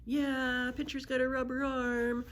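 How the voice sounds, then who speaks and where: A woman voicing boisterous schoolboys calling out loudly on a ball field in a storybook. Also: high-pitched, flat delivery, unhurried, slight background noise, voice pushed up. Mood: egging on. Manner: loud calling out.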